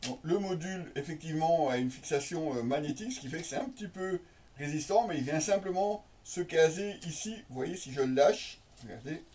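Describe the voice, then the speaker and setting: A man gives a casual, unscripted product presentation as a reviewer, in piecemeal phrases with many drawn-out hesitations. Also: a little high, a little mellow. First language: French. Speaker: motorcycle gear reviewer. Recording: slightly distant microphone.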